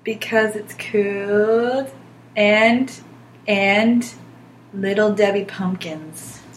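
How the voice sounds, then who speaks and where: A woman's voice: medium pitch, extremely slow. A young woman in casual podcast chat, rambling in an offbeat, dryly joking way. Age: young adult. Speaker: podcast co-host or guest. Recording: roomy echo, faint background noise.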